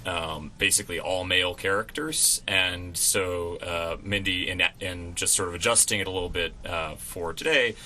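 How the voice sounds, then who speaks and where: Deep, very bright-voiced man chatting. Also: moderate pace, few pauses, a little hiss or hum. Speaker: radio play actor, interview guest. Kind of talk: casual radio interview. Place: college radio station.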